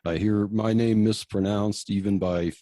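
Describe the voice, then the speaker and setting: Slow, mellow low-voiced man being interviewed. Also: fairly even intonation, no echo, clean studio sound. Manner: measured, explanatory, hesitant pauses. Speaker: podcast guest, fellow podcaster. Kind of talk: conversational interview answers.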